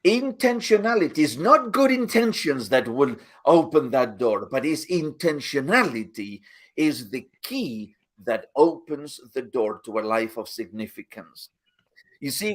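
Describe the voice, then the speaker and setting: Slow, animated man on a video call. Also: mid-range voice. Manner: earnest, repeats phrases. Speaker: host. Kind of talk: motivational live talk.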